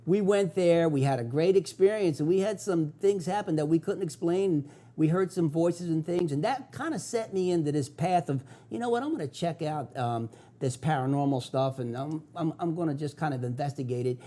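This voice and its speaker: A man's voice: a little high, normal speed, dark tone. Upbeat Philadelphia-accented male host. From South Philadelphia. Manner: enthusiastic, conversational, with filler uhs. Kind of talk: local TV talk show monologue.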